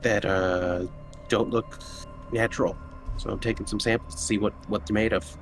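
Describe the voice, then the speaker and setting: A man's voice: on the low side, steady tone, normal speed, over music. A man playing a tabletop roleplaying game, chatting casually as he speaks for his character. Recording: noticeable background noise.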